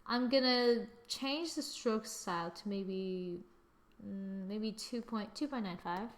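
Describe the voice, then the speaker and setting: A young woman talking through a software tutorial in a chatty, self-mocking way, thinking aloud as she clicks. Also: mid-range voice, takes it slowly. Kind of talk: screen-recorded software tutorial.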